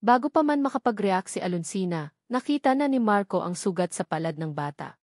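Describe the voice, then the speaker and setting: Woman, story narrator, scripted dramatic delivery, medium pitch, dry close sound. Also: expressive, brisk, darkish tone, dry recording.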